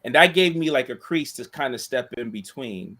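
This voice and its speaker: A man in casual chat who tells a long story in a monotone voice, rambling with many restarts and asides. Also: mid-range voice, moderate pace. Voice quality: monotone voice, self-described. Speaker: co-host and school staff member.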